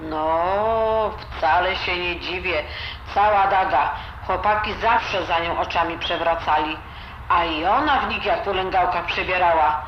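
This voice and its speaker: Woman acting an old Silesian grandmother, blunt, fussing, grumbly, audio drama, dull sound, clearly noisy background. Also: fairly low pitch, unhurried, natural voice. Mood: knowing, gossipy. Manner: grumbling, colloquial, full of dialect words. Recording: roomy, dull, noisy home recording.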